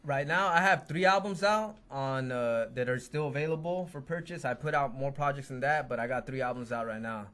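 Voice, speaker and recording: A man of middle pitch whose first language is Spanish talks about his music as a guest rapper. He speaks earnestly and sincerely, and often restarts his sentences. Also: fairly quick, hardly any pauses, fairly bright tone. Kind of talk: casual interview chat.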